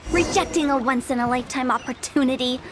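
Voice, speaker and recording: A woman as high-pitched, mischievous funeral parlor director. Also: fast talker, noisy background, close to an everyday voice. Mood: let down. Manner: theatrical, salesy, playful. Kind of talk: anime game voice acting. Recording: music behind the voice.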